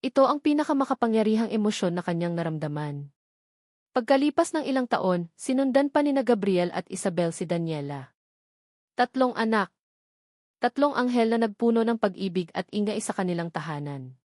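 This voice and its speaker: A woman's voice: medium pitch, animated, fairly quick, a little mellow, dry recording, clean studio sound. A woman with a Filipino accent reading a scripted dramatic story as its narrator.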